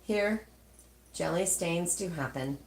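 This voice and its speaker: A woman reading a rhyming children's storybook aloud, with dramatic pauses between short phrases. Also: on the low side, echoey room, rough recording.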